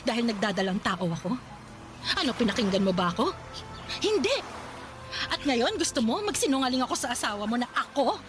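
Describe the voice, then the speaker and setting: An actress with a Filipino accent playing a hurt, scornful former mistress in an emphatic, confrontational radio drama style. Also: female voice, a little high, big pitch swings, brisk, long pauses, music in the background. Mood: bitter, anguished. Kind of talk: Tagalog radio drama acting.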